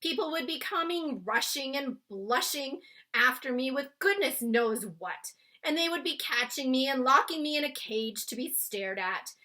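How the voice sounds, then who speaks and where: Storybook giant played by a woman: friendly, excitable, blustering, forceful and unhurried, folksy muddled grammar. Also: high-pitched, voice pushed up, full character voice. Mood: agitated. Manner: garbled grammar, invented words, emphatic. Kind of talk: children's storybook read-aloud.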